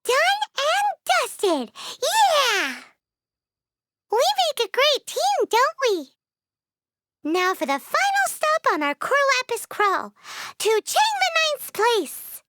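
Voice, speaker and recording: A woman voicing a tiny, childlike fairy companion in a video game, squeaky, excitable, cheeky and blunt. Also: slow, no room sound, full character voice. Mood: cheerful. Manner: speaks of herself in third person, chirpy and blunt.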